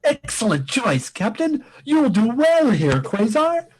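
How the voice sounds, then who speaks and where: A man playing a pompous, commanding space admiral in a comic radio play, speechifying emphatically in a husky voice. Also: very high voice, huge pitch swings, takes it slowly, slight background noise, exaggerated voice. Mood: approving, commanding. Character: blustering, commanding space admiral, male senior officer.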